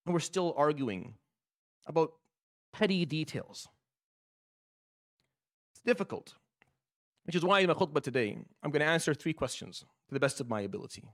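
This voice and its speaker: A man's voice: on the high side, very animated, normal speed, long pauses, fairly bright tone, no room sound, clean studio sound. Impassioned man preaching a sermon. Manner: impassioned, repetitive. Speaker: imam. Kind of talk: Friday sermon.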